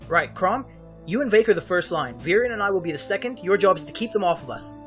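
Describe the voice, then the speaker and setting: An actor playing a quick-witted, composed young male tactician in a fan audio drama, practical and orderly. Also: sits high, lively intonation, fairly bright tone, faint music behind. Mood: composed, decisive.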